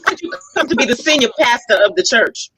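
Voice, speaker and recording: A woman hosting a lively, casual group chat, teasing and exclamatory as she talks. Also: on the high side, fast, breathy edge, dry recording, low-quality recording. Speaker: talk show host and stage play actress.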